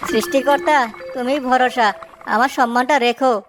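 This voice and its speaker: A woman's voice: high voice, steady tone, normal speed, background noise, close to an everyday voice. A woman voicing an honest, hardworking married village man who sells samosas, earnest and emotional, in a moral-story cartoon. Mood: anxious. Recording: music behind the voice.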